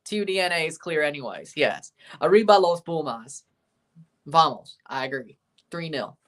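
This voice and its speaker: A man's voice: fairly high pitch, very animated, moderate pace. A young man hosting a live sports watchalong stream, reading chat aloud and giving opinionated takes. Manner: reads out team lineups. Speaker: livestream host reading chat.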